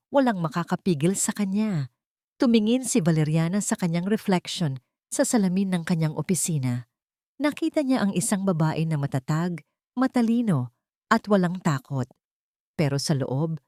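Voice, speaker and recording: A woman narrates a dramatic story in a scripted style. Her voice sits on the low side, and the recording is dry and close. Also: darkish tone, no room sound.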